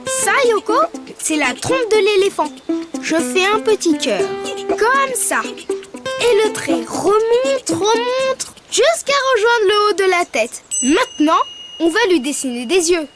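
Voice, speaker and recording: A woman voicing a cheerful young male cartoon bird who patiently guides children through drawing, over loud music. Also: very high-pitched, sing-song, slow, full character voice. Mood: upbeat. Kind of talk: preschool cartoon voice acting. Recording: loud background music track.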